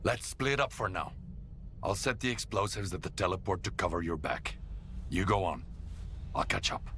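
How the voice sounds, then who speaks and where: An actor playing a gruff, seasoned guerrilla fighter in a video game, speaking in terse, clipped phrases. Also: male voice, low-pitched, animated, normal speed, fairly bright tone, a little hiss or hum. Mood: resolute. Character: gruff, seasoned male stalker guerrilla ally. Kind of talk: video game cutscene dialogue.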